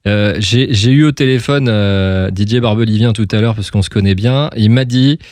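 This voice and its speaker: A man's voice: on the low side, moderate pace, a little mellow, no echo. A man hosting an informal radio interview, chatty and rambling, often jumping between half-finished phrases. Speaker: radio host interviewing a guest.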